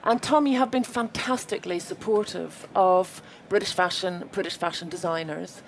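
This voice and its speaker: A woman interviewing a guest onstage, asking chatty, brisk questions. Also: fairly low pitch, slight background noise.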